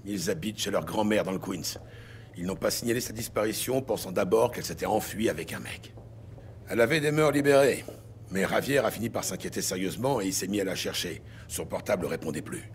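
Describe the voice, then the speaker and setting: An actor playing a tough, blunt New York police detective in a French audio drama, pressing and insistent. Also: male voice, deep voice, animated, a little fast, a little hiss or hum. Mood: explanatory. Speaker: police detective interrogating suspects. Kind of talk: dramatic audio series acting.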